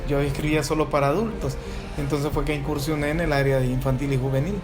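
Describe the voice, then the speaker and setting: A man in his forties with a Salvadoran Spanish accent, an author answering interview questions thoughtfully, often pausing and restarting his sentences. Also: fairly high pitch. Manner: reflective, hesitant restarts with frequent fillers. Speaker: author and school director.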